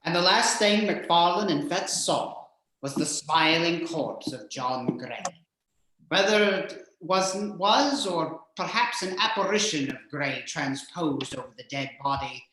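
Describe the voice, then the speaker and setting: A man playing a flamboyant, vain vampire count who hosts a horror show with melodramatic, drawn-out delivery. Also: a little high, bright, forceful tone, a little hiss or hum. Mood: ominous storytelling. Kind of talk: theatrical horror host narration. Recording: very echoey room.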